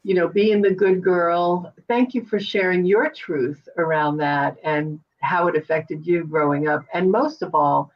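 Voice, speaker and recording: Woman host, encouraging and effusive, lowish voice, distant from the mic with a dull, lo-fi sound. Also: a little slow, hardly any pauses. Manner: warm, effusive thanks, encouraging. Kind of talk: interview show hosting.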